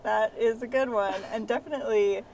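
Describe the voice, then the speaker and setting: An eager, friendly sock puppet played by a woman chats in a children's show, exclaiming and encouraging its pal. The voice sits slightly high. The recording has clear background noise. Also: fairly even intonation, brisk, darkish tone, lightly acted. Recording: clearly noisy amateur recording.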